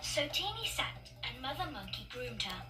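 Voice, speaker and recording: A woman narrating children's stories as a read-along, expressive, emphatic and playful. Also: a little high, moderate pace. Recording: distant-sounding, loud music behind. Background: music.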